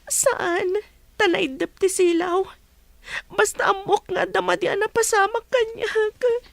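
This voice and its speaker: A woman's voice: very high-pitched, steady tone, takes it slowly, vocal fry, dry recording, exaggerated voice. A woman playing a bewildered, distressed young woman in a radio drama. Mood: tearful, helpless.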